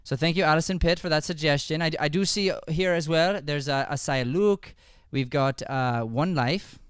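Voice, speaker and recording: A man hosts a live comedy show in a put-on Irish accent. His voice sits a little high and is very clean and smooth. He chats casually to the audience, close on the microphone in a dry space. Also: normal speed, dry recording. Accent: put-on stage Irish. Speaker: improv show host. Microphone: close podcast microphone.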